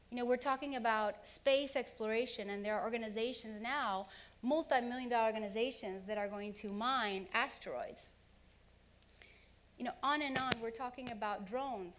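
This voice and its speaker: Earnest woman giving a keynote. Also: on the high side. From Honduras. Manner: earnest, listing examples in quick runs. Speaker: keynote speaker. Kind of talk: conference keynote speech. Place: conference hall.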